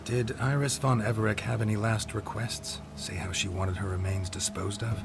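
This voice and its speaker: Very deep, gravelly, weary monster hunter. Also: male voice, moderate pace, heavy background noise. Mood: matter-of-fact, terse. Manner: terse, clipped, deadpan, drops sentence subjects. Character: weary, stoic male monster hunter, blunt and dry. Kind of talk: video game cutscene acting. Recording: loud music under the voice.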